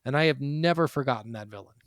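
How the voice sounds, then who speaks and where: A man's voice: mid-range voice, fast, dry recording, studio quality. A man hosting a casual video game podcast, talking in a blunt, profane and opinionated way. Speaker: podcast host. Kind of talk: casual banter about video games.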